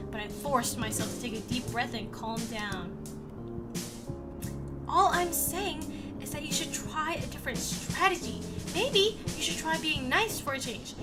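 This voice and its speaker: Woman as story's exasperated aide, earnest and pleading, extremely high voice, music behind. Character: exasperated campaign aide narrating and advising a cat boss. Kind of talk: scripted story reading.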